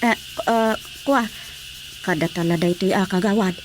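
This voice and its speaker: A woman playing an excitable, complaining village gossip in a Filipino radio comedy drama, with a Filipino accent. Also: medium pitch, normal speed, slight background noise, close to an everyday voice. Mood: gossipy, flustered.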